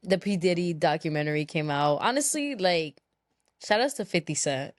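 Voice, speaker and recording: A woman with a low voice chats as a podcast co-host. Her talk is informal and slangy, with swearing slipped in freely. The sound is dry, with very little room in it. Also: expressive, moderate pace, no echo. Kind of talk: casual chat.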